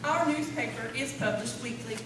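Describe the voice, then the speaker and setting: Sassy, folksy townswoman, played by an actress, high voice, teasing comic delivery, distant echoey noisy hall. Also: moderate pace, natural voice. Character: sassy small-town woman swapping jokes about her town. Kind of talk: live comic radio-play acting.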